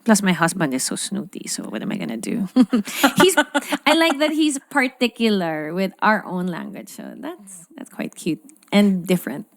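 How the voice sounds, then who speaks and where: A woman's voice: lowish voice, very animated, moderate pace, no room sound. Chatty Filipino woman, dry close sound. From Philippines. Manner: code-switching between English and Tagalog, playful. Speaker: podcast host. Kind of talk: casual chat.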